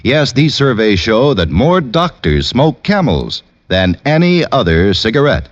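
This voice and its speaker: A man's voice: mid-range voice, sing-song, a little slow, highs cut off. A man reading a scripted mid-century radio advertisement as a commercial announcer. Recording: band-limited old radio broadcast.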